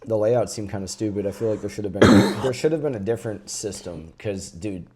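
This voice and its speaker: A man's voice: on the low side, fairly quick, a crowd in the background. A man co-hosting a casual podcast, laid-back and joking with slang. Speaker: podcast co-host. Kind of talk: casual chat.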